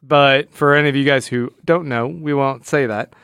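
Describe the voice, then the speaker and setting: A man's voice: medium pitch, fairly even intonation, normal speed, gravelly, a bit bright, clean tone, no echo. A man co-hosting a podcast, talking casually and analytically, often rephrasing himself as he explains. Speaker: podcast co-host.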